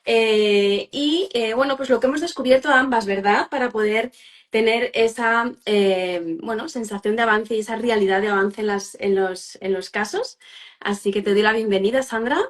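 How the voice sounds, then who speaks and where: A woman's voice: a little high, normal speed. A woman psychologist hosting a live-streamed interview, talking with many fillers and restarts.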